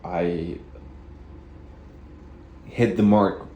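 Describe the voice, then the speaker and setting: A man with a deep voice giving a rambling spiritual self-help monologue, halting and repeating phrases as he goes. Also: steady tone, roomy sound, lo-fi sound, a little hiss or hum. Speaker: vlogger.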